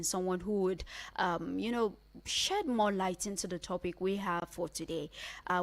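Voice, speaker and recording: A woman with a Nigerian accent presenting a radio talk show as its host. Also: medium pitch. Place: radio studio.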